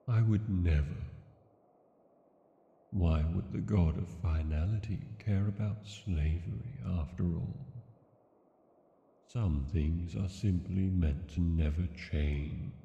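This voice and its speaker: A man acts out a courtly, sly dragon king for a fantasy audio drama. The voice is low and gravelly, speaking slowly with teasing, silky humility. It rings in a long, cavernous reverb. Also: dark tone, highs cut off, full character voice. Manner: slow, courtly, teasing, falsely humble. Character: smooth, scheming, courtly male dragon king lover. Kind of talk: fantasy romance audio roleplay acting.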